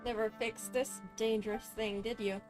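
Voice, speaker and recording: Woman, game streamer, high-ish pitch, slow casual delivery, game music clearly behind. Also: flat delivery, a little mellow.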